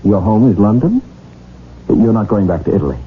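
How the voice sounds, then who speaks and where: A low male voice with lively swings, an earnest, lovestruck English gentleman in a period radio play. He is courteous and romantic, telling his tale like a storyteller. The sound is thin and narrow, like an old broadcast, with music behind. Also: a little fast, a little hiss or hum. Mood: eager, courteous. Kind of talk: period radio drama acting.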